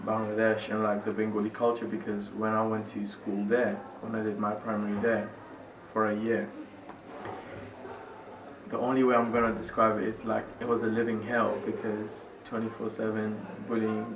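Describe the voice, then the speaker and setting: Soft-spoken young man, distant and echoey. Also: lowish voice. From Italy. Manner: hesitant, many filler words. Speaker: interviewee. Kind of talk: personal reflection in interview. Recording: echoey, distant mic with loud ambient noise.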